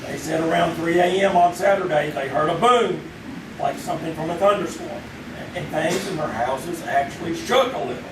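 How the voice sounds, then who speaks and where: A man's voice: on the high side, moderate pace, lightly acted. A man playing a wry middle-aged father at dinner, acted live on stage as old-time radio drama. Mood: puzzled. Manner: dry, wry. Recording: echoey stage, distant mic, noisy.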